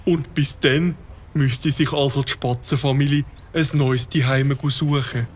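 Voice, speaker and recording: A wordy old-fashioned bird-watcher. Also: male voice, mid-range voice, fairly even intonation, measured pace, breathy edge. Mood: earnest. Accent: Swiss German. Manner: pompous, long-winded lecturing. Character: fussy bird-watcher man, learned, fond and wordy.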